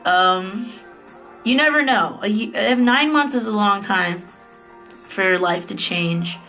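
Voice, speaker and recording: A woman in her late twenties from the United States, talking to camera in a casual vlog from a private room in her office at night. She is chatty and candid and fills her talk with ums and quick self-corrections. Her voice sits at a medium pitch. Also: expressive, pushed and bright, faint music behind, rough recording. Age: late 20s. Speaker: vlogger.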